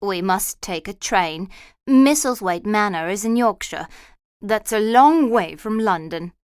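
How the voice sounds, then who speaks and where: A brisk, disapproving housekeeper woman, as a male narrator puts her on, pitched up and clipped. She talks with stern no-nonsense firmness. The sound is dry and close. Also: lively intonation, smooth, no room sound. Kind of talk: storybook reading.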